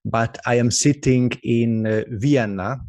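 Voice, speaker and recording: A man with a Hungarian accent giving a webinar presentation over a video call, halting and full of "uh" and "also" fillers. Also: mid-range voice, darkish tone, dry recording. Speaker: guest expert presenter. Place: office with company logo behind him.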